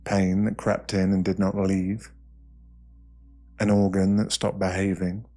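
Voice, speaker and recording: A British man with a deep, low voice reads a guided meditation. He speaks slowly and softly in short, soothing phrases, leaving space between them. Music plays behind him. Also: level tone. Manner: slow, hushed, soothing delivery with short phrases and pauses. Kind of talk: ASMR guided meditation, scripted narration.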